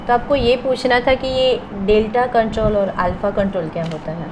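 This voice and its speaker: A woman's voice: a little high, steady tone, moderate pace. Woman interviewer, noisy location recording. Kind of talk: interview questions with frequent acknowledgements. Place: at an airport.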